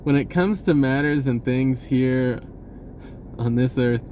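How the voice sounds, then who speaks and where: A man giving a rambling, casual spiritual monologue in a halting, informal and blunt way, swearing at times. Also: mid-range voice, mellow, a little hiss or hum. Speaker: vlogger speaking a personal message.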